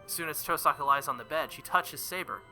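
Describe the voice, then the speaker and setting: Ein Mann mit sehr heller, scharfer Stimme liest ein Visual Novel vor und streut verspielte, witzelnde Kommentare ein. Seine Stimmlage liegt etwas über dem Durchschnitt. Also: male voice, on the high side, quick pace, pushed and bright, faint music behind. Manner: playful, joking asides and laughter. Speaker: let's play streamer. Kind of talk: reading a visual novel aloud with commentary.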